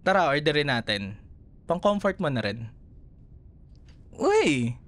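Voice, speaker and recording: A man's voice: fairly high pitch, big pitch swings, slow pace. A man with a Filipino accent playing an affectionate, playful young boyfriend comforting a scared partner in an intimate ASMR roleplay. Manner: teasing, tender, chuckles often. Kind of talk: ASMR roleplay, intimate one-sided dialogue.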